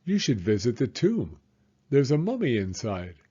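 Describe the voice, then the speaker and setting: Man playing young female beaver, storybook character, know-it-all, excitable, husky, slightly dull recording. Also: medium pitch, moderate pace. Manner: emphatic, giggly.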